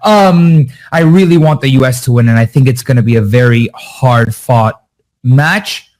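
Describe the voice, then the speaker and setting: A man talking as a soccer analyst in a casual podcast chat, animated and lively. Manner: animated, quick pitch jumps when excited.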